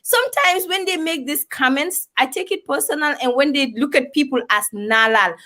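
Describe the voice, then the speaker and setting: A woman's voice: high voice, lively intonation, normal speed, bright, forceful tone. A woman from Gambia co-hosting a panel talk show, speaking passionately and personally. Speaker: co-host. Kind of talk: panel discussion, mixing English and a local language.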